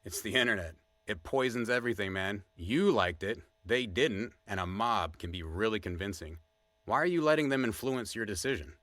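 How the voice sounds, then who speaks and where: A man's voice: fairly low pitch, expressive, normal speed, a bit bright, dry recording. A man playing a wry, opinionated podcast co-host in casual scripted banter. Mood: insistent.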